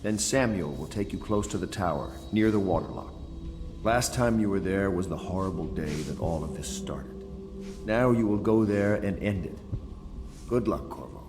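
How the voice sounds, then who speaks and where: A man playing a grave, commanding leader of conspirators in a video game, solemn and deliberate. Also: sits low, lively intonation. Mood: solemn resolve. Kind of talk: video game character dialogue. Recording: reverberant hall, music behind.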